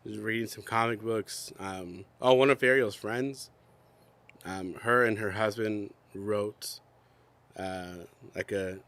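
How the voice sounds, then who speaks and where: Young man, low voice, congested and coughing, relaxed podcast co-host. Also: slow. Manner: chatty, rambling with many fillers and laughs. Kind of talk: casual chat. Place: outdoors.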